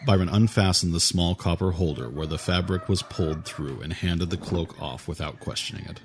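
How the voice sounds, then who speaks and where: A man's voice: sits low, normal speed, a little hiss or hum. A man narrating fantasy fiction as a scripted audiobook. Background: music.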